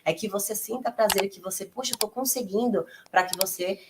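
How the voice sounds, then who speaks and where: A woman's voice: medium pitch, brisk, background noise. Encouraging Brazilian woman teacher. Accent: Brazilian Portuguese. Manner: encouraging, explains step by step. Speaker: English teacher. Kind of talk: casual teaching chat.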